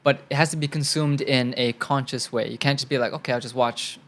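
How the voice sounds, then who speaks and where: Relaxed young man, Thai native speaker. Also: mid-range voice, fairly quick. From Thailand. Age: young adult. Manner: relaxed and chatty, thinks aloud with many fillers. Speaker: guest actor. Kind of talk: casual interview answers.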